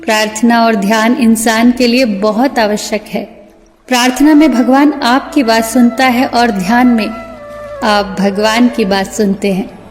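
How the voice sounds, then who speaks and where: Female narrator for inspirational quotes, measured scripted delivery, drenched in hall reverb over a music bed. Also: fairly high pitch, fairly even intonation.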